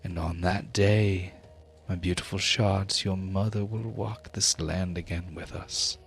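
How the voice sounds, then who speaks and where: A man's voice: sits low, fairly even intonation, measured pace, gravelly. A man voicing a solemn prophetic mother deity of a giant tribe in a tabletop game, speaking in grand, ceremonial pronouncements. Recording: music under the voice.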